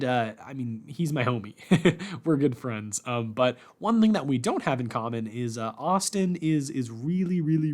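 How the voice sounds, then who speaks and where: Guy hosting a podcast, chatty and informal, puts some bounce on his words. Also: fairly high pitch. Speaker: podcast host. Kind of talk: casual podcast talk.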